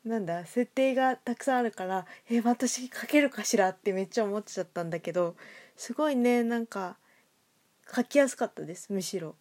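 A woman's voice: fairly high pitch, a little fast, a little mellow. Woman hosting a casual audio show chat. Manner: chatty, frequent backchannels. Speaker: host of an audio drama show.

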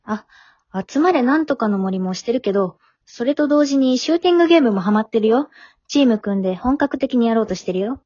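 Teen daughter, played by a woman, frank, gently chiding, matter-of-fact; dry, slightly lo-fi radio drama sound. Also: a little high, lively intonation, fast talker, no room sound. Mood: casual. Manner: matter-of-fact, teasing, plain-spoken. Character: frank, forgiving teenage high-school daughter, gently chiding. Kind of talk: amateur short radio drama acting.

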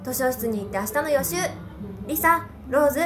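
A woman's voice: high-pitched, expressive, brisk, music in the background. A woman playing an earnest, friendly and polite schoolgirl transfer student at a magic school in an amateur audio drama. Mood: cheerful. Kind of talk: amateur audio drama acting with first-person narration. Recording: clearly noisy amateur home recording.

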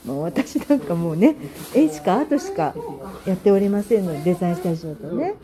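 Lady host w a high bouncy voice, rambly polite gushy chat, people talking all around. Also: very animated, quick pace. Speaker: host of an audio app show; visual artist and designer. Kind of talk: casual unscripted chat with guests and announcements. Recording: crowd chatter behind, live on the spot.

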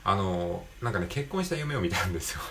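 A man's voice: deep voice, expressive, quick pace, pushed and bright, slight background noise. A man hosting a solo show with an improvised casual monologue, rambling and self-deprecating as he digresses. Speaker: solo audio show host.

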